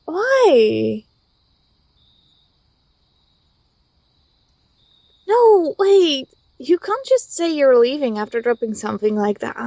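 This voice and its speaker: A woman playing an affectionate, teasing young student talking to a close friend in a soft, intimate ASMR roleplay. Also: sits high, dry recording, full character voice. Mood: hurt, insistent. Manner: gentle, playful, coaxing.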